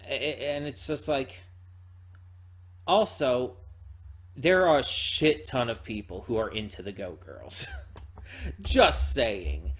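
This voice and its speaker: Guy doing an off the cuff reaction, mid pitched voice, rambles and stumbles back over his words a lot. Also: very animated, a bit bright. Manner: rambling, halting, repeats words and hedges often. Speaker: reaction channel host. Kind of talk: unscripted casual reaction commentary.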